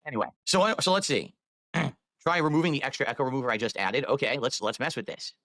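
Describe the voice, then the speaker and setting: A man's voice: medium pitch, fast, bright, forceful tone, no room sound. A man live-streaming, rambling while he fiddles with his microphone and audio settings.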